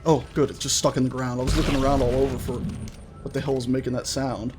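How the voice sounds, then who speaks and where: A man's voice: medium pitch, flat delivery, fast talker, background noise. A man hosting a gaming video with casual, chatty, playful and enthusiastic let's-play commentary. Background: game music.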